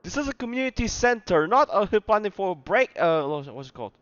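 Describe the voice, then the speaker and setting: A man's voice: sits high, very animated, a little fast, a bit bright. A man giving casual live gameplay commentary, often searching for words and repeating himself. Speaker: game streamer. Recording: slightly dull, lo-fi microphone. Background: game music.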